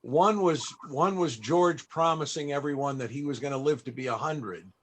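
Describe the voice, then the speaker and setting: Higher-pitched man hosting a panel. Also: normal speed, fairly bright tone. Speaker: panel interviewer, entertainer. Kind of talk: conversational panel interviewing.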